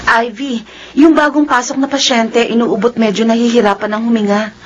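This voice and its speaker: An actress playing a stoic, overworked nurse in a radio drama, in a dull recording. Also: female voice, on the high side, fairly even intonation, fairly quick, music in the background, close to an everyday voice. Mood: concerned. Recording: dull sound, faint background noise.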